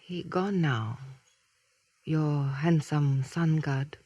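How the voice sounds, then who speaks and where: Slow, husky, lovelorn young Native woman. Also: low-pitched, mellow, no echo, lo-fi sound, full character voice. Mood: solemn, tender. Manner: halting, simplified English delivered slowly. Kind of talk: 1950s radio drama acting.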